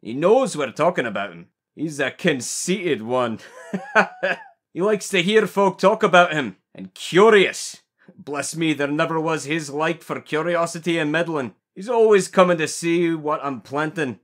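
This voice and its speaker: A man's voice: fairly high pitch, animated, measured pace, fairly bright tone. A man playing a surly old country gardener. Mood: fond. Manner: rustic old-fashioned dialect speech. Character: surly old male gardener, gruff but fond of birds. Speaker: actor in an audio drama. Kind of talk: amateur audio drama acting.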